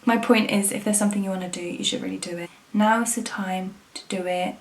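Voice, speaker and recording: Young woman vlogger, casual and frank, some vocal fry. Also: mid-range voice, fairly even intonation, quick pace. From England. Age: 20s. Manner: frank and chatty, swears casually. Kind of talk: casual talk to camera.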